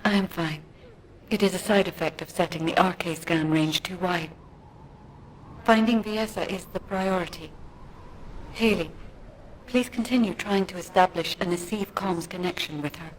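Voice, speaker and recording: A woman's voice: fairly low pitch, slight background noise, natural voice, low-quality recording. Composed, formal woman tracker, an actress's game role. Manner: formal, measured, no contractions. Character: composed, formal female psychic-tracker warrior. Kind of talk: video game voice acting.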